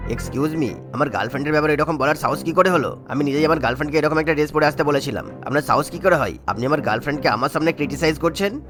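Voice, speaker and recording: A man voicing a smooth-talking, middle-aged ex-army suitor in a cartoon, with a flirty, haughty manner. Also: a little high, very fast, hardly any pauses, over music, lightly acted.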